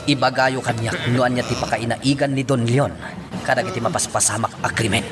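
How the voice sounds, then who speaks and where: A man's voice: medium pitch, steady tone, a little fast, close to an everyday voice. Man playing a stern, threatening police interrogator. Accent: Filipino (Ilocano). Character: stern, short-tempered male police interrogator. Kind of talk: radio drama acting. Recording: music behind the voice.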